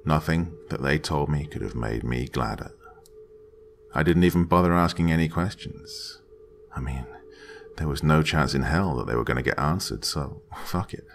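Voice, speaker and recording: A man with a deep, gravelly voice reading a horror story aloud in a slow, dramatic way, dropping to hushed whispers at tense moments. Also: a little hiss or hum. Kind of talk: scripted horror narration.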